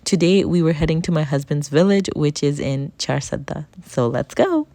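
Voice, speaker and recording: A woman raised in Canada narrating her family vlog in a chatty, upbeat voice-over with soft laughs. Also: sits low, moderate pace, no echo. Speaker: vlogger.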